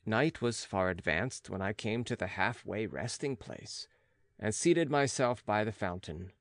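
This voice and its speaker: A man narrating a classic gothic novel as an audiobook, in a measured, dramatic literary manner. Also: lowish voice, lively intonation, no room sound, clean studio sound.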